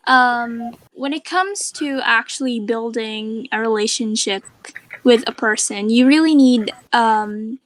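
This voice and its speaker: A woman giving measured, thoughtful advice in a casual online chat. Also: on the high side, no room sound.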